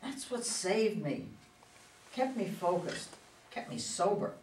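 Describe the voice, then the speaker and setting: A woman's voice: fairly low pitch, sing-song, slow pace, a little mellow, full character voice. A woman in a staged play reading, playing a guarded but tender widowed politician. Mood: resolute, reflective. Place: echoey room at a reader's theater. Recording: roomy, distant mic, faint noise.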